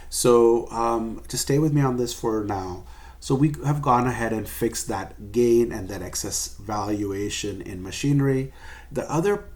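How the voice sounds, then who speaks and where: A man's voice: medium pitch, darkish tone. A man giving an explanatory recorded lecture as a professor, going step by step and stressing key points. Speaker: accounting professor.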